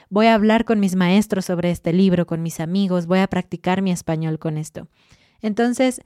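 A woman hosting a podcast for Spanish learners, giving clear, encouraging advice with lively asides. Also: on the low side, dry recording, studio quality. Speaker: Spanish teacher and podcast host.

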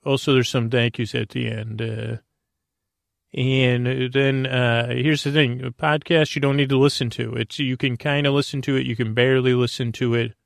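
A man hosting a bedtime podcast, rambling in a halting, meandering way with a creaky, mumbly voice and self-deprecating asides. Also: medium pitch, little pitch movement, no room sound, clean studio sound. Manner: meandering, halting, stumbling over words. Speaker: podcast host and storyteller. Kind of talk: rambling bedtime monologue to help listeners sleep.

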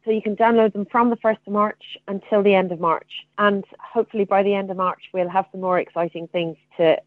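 A woman's voice: mid-range voice, fairly even intonation, a little fast, no room sound. A woman speaking conversationally as an interview guest over a phone line. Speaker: interview guest, theatre artistic director. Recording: tinny narrow phone line, dry.

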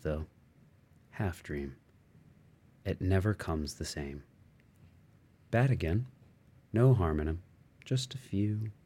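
A middle-aged man narrates literary fiction in a deep, dark-toned voice. He takes his time, setting down short, broken thoughts one by one with measured pauses. The delivery is very slow and relaxed. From United States. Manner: measured, fragmentary phrasing with pauses. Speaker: audiobook reader. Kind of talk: literary narration, stream-of-consciousness reading.

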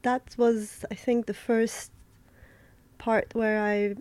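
A young woman from Turkey, an artist answering interview questions in a thoughtful, halting way, with many fillers and restarts. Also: fairly high pitch, little pitch movement, darkish tone, no echo.

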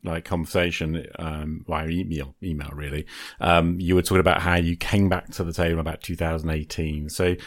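A middle-aged man with a low voice hosts a relaxed, conversational interview. The sound is dry, with no room around him. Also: fairly quick, hardly any pauses, dry recording. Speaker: podcast host, interviewer.

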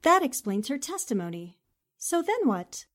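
A woman's voice: sits high, big pitch swings, moderate pace. An actress playing a determined, composed newspaperwoman who probes with sympathy, in 1940s-style radio drama. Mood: sympathetic, probing.

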